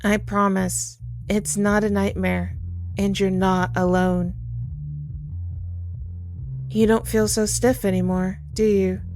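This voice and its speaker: Woman as a slow, gentle, sorrowful Grim Reaper. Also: mid-range voice, little pitch movement, faint music behind. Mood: comforting, wistful. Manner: soft-spoken, tender, unhurried reassurance with pauses. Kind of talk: ASMR audio roleplay, intimate comforting monologue.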